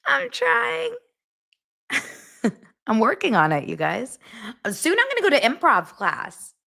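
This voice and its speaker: A woman's voice: high-pitched, huge pitch swings, slow, vocal fry, sharp tone. An American woman hosting a casual pop-culture podcast, chatty and giggly, often laughing at herself. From United States. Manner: chatty, giggly, self-mocking. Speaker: podcast host.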